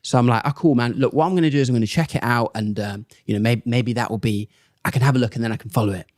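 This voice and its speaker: A young man and comedian, chatting casually as a podcast guest and telling animated stories in which he acts out other people's lines. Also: medium pitch, racing, no room sound, studio quality. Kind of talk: casual chat, storytelling.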